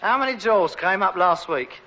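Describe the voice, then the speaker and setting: An actor playing an exasperated, sarcastic adult son with mock-refined airs and a London Cockney accent in a vintage British sitcom. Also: male voice, high voice, animated, normal speed, bright, forceful tone. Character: exasperated, sarcastic adult son with aspirations, rag and bone man. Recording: dull, noisy vintage broadcast recording.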